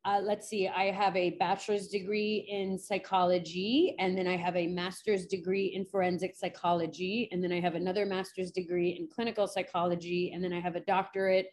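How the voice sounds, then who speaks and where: Brief: woman in her thirties, guest psychologist, animated and candid, quick laughs. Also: fairly low pitch. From New York. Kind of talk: casual interview chat.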